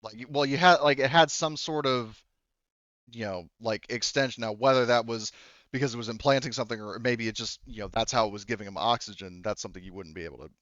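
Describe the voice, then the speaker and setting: A man narrating a tabletop roleplaying game as game master, improvising in a halting, rambling, conversational way. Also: medium pitch, a bit bright, dry recording, lo-fi sound.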